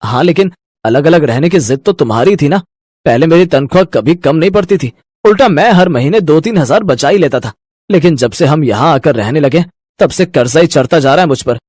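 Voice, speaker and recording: An actor playing a practical young husband in a cartoon family story, speaking in a reasoning manner. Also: male voice, on the high side, animated, fairly quick, darkish tone, no room sound, studio quality. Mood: exasperated. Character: young husband, practical, exasperated about money. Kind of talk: Hindi cartoon story dialogue.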